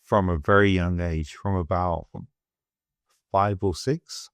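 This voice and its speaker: A middle-aged British man hosting a podcast interview, soft-spoken and hesitant, with many fillers and restarts. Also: low-pitched, steady tone, slow, smooth, no room sound, clean studio sound. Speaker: podcast host. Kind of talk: casual interview chat.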